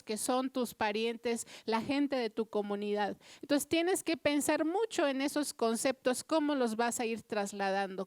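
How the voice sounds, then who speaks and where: A woman whose first language is Purépecha, speaking as a translator at a book presentation in earnest, reflective formal remarks, often pausing on "eh". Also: high-pitched, smooth, no room sound, studio quality. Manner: earnest, reflective, with frequent "eh" fillers. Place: official venue before an audience.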